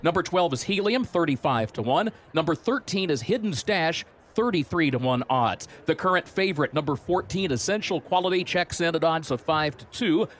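A man commentating on a horse race broadcast, reading out odds and storylines in a steady informative way. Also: on the high side, moderate pace. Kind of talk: live sports broadcast commentary.

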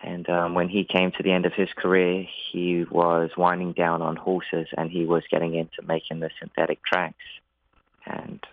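A man's voice: low-pitched, monotone, normal speed, no room sound. A man in his twenties with an English accent, a jockey answering interview questions modestly and a little hesitantly over the phone. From England. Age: 20s. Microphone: telephone. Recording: muffled, narrow phone line.

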